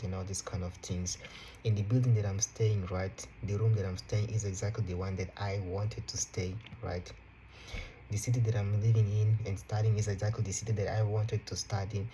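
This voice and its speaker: A young man around twenty whose first language is Portuguese, talking casually to camera from his room in an unhurried way, full of "you know" and "right". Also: fairly low pitch, a little hiss or hum, poor audio. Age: young adult. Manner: relaxed, unhurried, repeats phrases, fillers like "you know" and "right". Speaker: vlogger. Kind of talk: casual motivational talk to camera.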